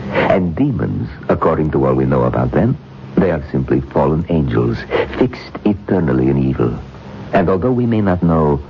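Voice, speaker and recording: An actor playing a proud, menacing scholar of the occult in a radio drama, speaking formally and theatrically. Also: male voice, extremely low pitch, creaky, full character voice. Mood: solemn, ominous. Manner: formal, pompous, theatrical delivery. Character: proud, vengeful male occult scholar, menacing and easily enraged. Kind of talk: old-time radio drama acting. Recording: dull, faintly noisy old radio recording.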